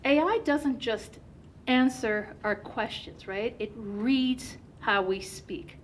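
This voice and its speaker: A woman whose first language is Turkish, giving a conference presentation in an animated way with many fillers. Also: a little high, slow pace, slight background noise.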